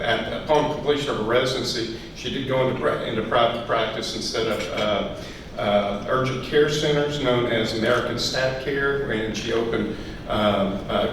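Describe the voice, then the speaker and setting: A man gives a faltering introduction at a graduation ceremony, stopping for filler sounds again and again. He sits at a middling pitch for a man. The recording is very echoey and distant, with clear noise beneath it. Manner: halting, many filler sounds. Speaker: residency faculty introducing the speaker. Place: large hall. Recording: echoey hall, distant and noisy.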